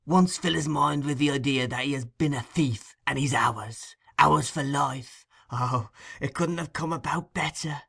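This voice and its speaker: A man voicing a crafty old gang master in an audiobook, with a shrill, eager, wheedling way of talking. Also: unhurried, gravelly, few pauses, a bit bright, breathy edge, full character voice. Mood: eager, scheming. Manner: eager, insinuating, fawning.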